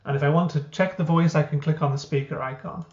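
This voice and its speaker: Man, tutorial walkthrough, explanatory, fillers, somewhat higher voice, dull lo-fi sound. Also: fairly even intonation, fast talker, a little mellow. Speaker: software founder giving a tutorial. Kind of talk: screen-recorded software walkthrough. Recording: roomy, dull lo-fi.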